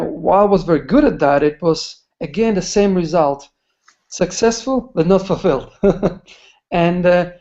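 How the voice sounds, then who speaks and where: A man's voice: high voice, darkish tone. A man sharing a personal story on a webinar, hesitant and searching for words. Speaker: webinar participant. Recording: muffled webinar call audio.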